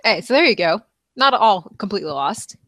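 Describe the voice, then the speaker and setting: A woman co-hosts a relaxed podcast, chatty and agreeable and quick to laugh. Her voice sits at a middle pitch and sounds dry and close to the mic. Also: dry recording. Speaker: podcast co-host. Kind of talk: casual chat.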